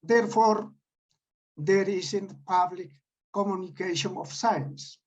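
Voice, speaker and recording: Slow, emphatic Peruvian-accented man. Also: sits high, steady tone. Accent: Peruvian Spanish. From Peru. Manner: deliberate, emphatic, reads out declarations. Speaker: conference presenter. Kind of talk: formal prepared presentation.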